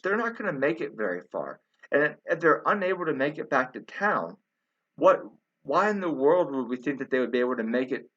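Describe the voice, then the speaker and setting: A man's voice: medium pitch, bright, forceful tone, muffled recording. A man giving informal, argumentative commentary as a video host, emphatic and repetitive, addressing his listeners as friends.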